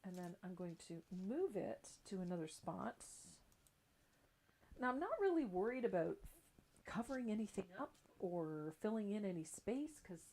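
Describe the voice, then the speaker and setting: A woman's voice: medium pitch, mellow, a little hiss or hum. A middle-aged woman giving a casual, chatty live craft tutorial in an informal way. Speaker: craft painting instructor and livestream host. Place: indoor craft table.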